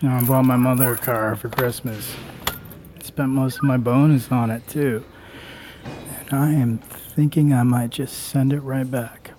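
An actor playing a stern, contemptuous prison guard in an audio drama, curt and commanding. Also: male voice, mid-range voice, steady tone, measured pace, warm tone, a little hiss or hum, lightly acted. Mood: dry, contemptuous.

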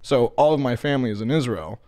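A man's voice: medium pitch, fast, mellow. Laid-back man in his thirties. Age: 30s. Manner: laid-back, rambling with fillers and filler "like". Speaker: podcast guest. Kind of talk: casual chat.

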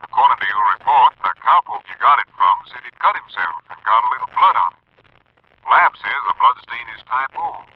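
An American actor playing a brisk, official Texas Ranger captain in a 1950s radio drama, husky and to the point. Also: male voice, medium pitch, expressive. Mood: official, intent. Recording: dry, muffled 1950s radio recording.

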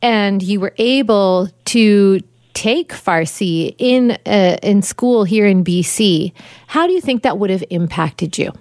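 A woman's voice: mid-range voice, animated, takes it slowly, darkish tone, no echo, studio quality. A woman hosting a radio interview, asking direct, probing questions.

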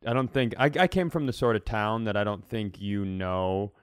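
A man with a clear, smooth voice on the low side, recorded close and dry. He talks casually like a podcast comedian, rambling through self-deprecating stories. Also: lively intonation, moderate pace, no echo.

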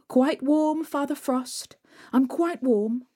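A woman voicing a kind, brave young peasant girl, pitched higher than her own voice. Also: slow pace, warm tone. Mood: shivering, brave.

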